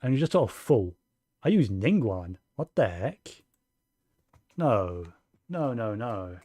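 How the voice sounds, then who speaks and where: A man's voice: lowish voice, big pitch swings, slow, mellow, no room sound, clean studio sound. A man giving casual live gameplay commentary, muttering and thinking aloud as he goes. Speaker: game streamer. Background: faint game music.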